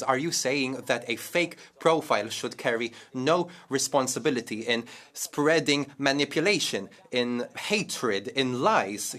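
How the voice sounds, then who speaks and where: A man's voice: mid-range voice, fairly bright tone. A man in a parliamentary debate firing pointed, rhetorical questions. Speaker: parliament member asking a question.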